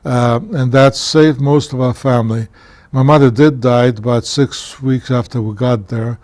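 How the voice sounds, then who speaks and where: A man in his seventies, from Poland, telling his life story earnestly and haltingly as a guest on a public access TV interview. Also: mid-range voice, fairly even intonation, a little mellow, no room sound. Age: 70s. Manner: earnest, halting, measured recollection. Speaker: guest author, former county commissioner.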